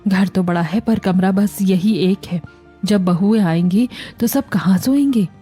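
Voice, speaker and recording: A woman puts on an elderly, loving mother-in-law for a cartoon family tale. She sounds soft-toned and tender, with a worried, fretful manner, and music plays behind her. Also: medium pitch, a little slow, slightly rough. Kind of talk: Hindi cartoon family story acting.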